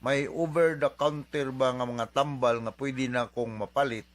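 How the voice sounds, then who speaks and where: A male radio host with a Cebuano accent and a medium-pitched voice gives advice as a doctor. He talks in an informal, conversational way, slipping into English and tagging phrases with little questioning interjections. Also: steady tone, moderate pace.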